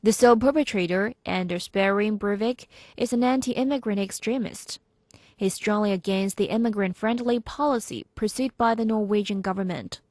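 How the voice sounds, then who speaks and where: A woman reading a scripted news report in a measured, formal manner. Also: mid-range voice, a little mellow, no echo.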